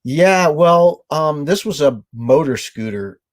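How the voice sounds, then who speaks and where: A man's voice: on the high side, animated, takes it slowly. A nasal man in his fifties, co-hosting a casual livestream from an RV couch, telling stories and reading out viewer comments. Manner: rambling storyteller, reads viewer comments aloud. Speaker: livestream co-host. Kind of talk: casual live chat. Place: living room of an RV, on a couch. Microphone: webcam framing, seated.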